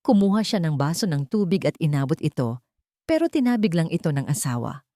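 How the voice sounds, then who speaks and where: Female storyteller for scripted melodrama, somewhat low voice, very dry studio-like sound. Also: big pitch swings, a little fast, dark tone, no echo. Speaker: story narrator. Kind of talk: scripted dramatic narration.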